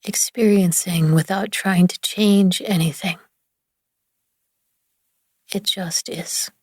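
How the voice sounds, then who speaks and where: A woman leading a guided relaxation meditation in a gentle, soothing, hushed manner with long pauses between phrases. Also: fairly low pitch, steady tone, slightly rough, no echo, clean studio sound. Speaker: yoga nidra meditation guide.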